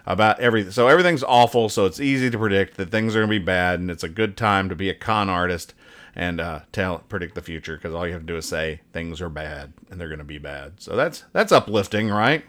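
A man's voice: low-pitched, fast, fairly bright tone. A man hosting casual banter as he reads out comic strips, with a rambling, joking, mock-serious manner. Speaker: podcast host.

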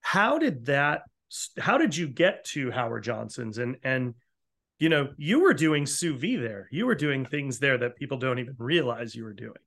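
American guy interviewing, eager and rambling, mid pitch voice. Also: big pitch swings, normal speed, creaky, fairly bright tone. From Newport, Rhode Island area of the US. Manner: enthusiastic, rambling questions with restarts. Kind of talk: conversational interview.

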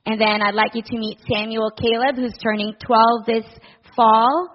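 A middle-aged woman from the Philippines addresses a church congregation as a charity director. She speaks with earnest warmth and a personal touch, dotted with soft fillers. The sound is a little dull. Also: fairly high pitch, little pitch movement, moderate pace. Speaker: director of a family services charity.

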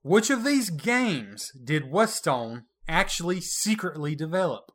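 A man's voice: on the high side, very animated, unhurried, a bit bright. A man co-hosting a casual gaming podcast, running a trivia quiz in a playful, teasing way and laughing often. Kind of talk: casual retro gaming chat.

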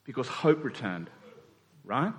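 A man's voice: mid-range voice, very animated, a little slow, low-quality recording. A man preaching a conversational sermon, repeating key phrases for emphasis and checking in with his listeners through short rhetorical questions. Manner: rhetorical check-ins with the congregation.

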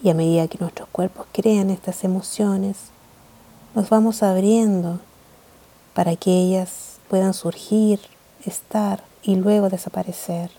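A woman leading a guided meditation in a calm, gentle, measured way of speaking. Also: mid-range voice.

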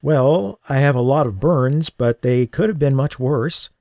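A man voicing a young woman left blinded and burned, speaking softly with a strained, halting manner in an audiobook. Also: mid-range voice, no room sound, studio quality, voice pushed up, natural voice. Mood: shaken. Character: injured young woman, blinded, fragile and shaken.

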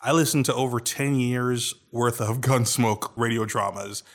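A man's voice: medium pitch, fairly even intonation, measured pace. A man co-hosting a podcast, chatting casually and enthusiastically. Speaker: podcast co-host. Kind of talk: casual chat.

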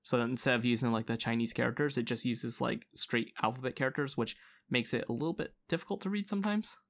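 A man's voice: mid-range voice, fast talker, creaky, fairly bright tone. A man co-hosting a podcast in casual chat, hesitant with many fillers and restarts. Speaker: podcast co-host.

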